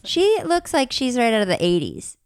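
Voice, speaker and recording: A woman with a high voice in a casual chat, reacting often and speaking hesitantly. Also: wildly swooping pitch, moderate pace, clean tone, no room sound, clean studio sound. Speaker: podcast co-host.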